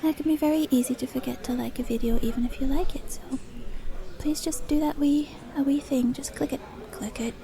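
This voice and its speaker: Mellow woman, often whispering. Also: high voice, steady tone, fairly quick, vocal fry. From Dublin, Ireland. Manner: rambling, self-deprecating. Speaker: ASMR video creator. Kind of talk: ASMR, rambly casual chat.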